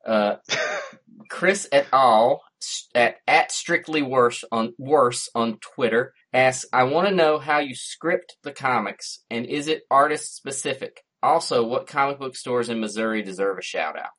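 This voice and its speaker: A man's voice: mid-range voice, unhurried, fairly bright tone. Man with a syrupy American drawl. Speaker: co-host, comic book writer. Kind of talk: casual chat, reading out listener questions.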